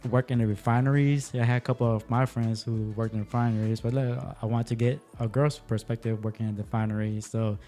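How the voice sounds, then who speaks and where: Man, podcast host, mellow relaxed tone, medium pitch, informal chat, faint music behind. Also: quick pace, few pauses. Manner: informal, conversational. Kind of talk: casual interview chat.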